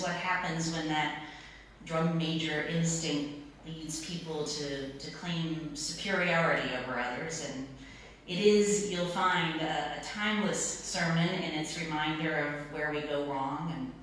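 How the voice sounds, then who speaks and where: A woman pastor giving a sermon in a church, phrasing it in a measured way with deliberate repetition. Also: deep voice, a bit bright. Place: church sanctuary. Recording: echoey church, distant mic, faint noise.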